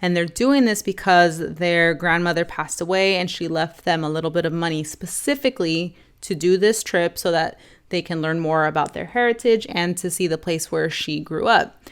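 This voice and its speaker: A young woman vlogger casually reviewing films to camera, rambling with lots of fillers and restarts, a little hoarse. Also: lowish voice, normal speed. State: slightly hoarse. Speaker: film vlogger ranking movies.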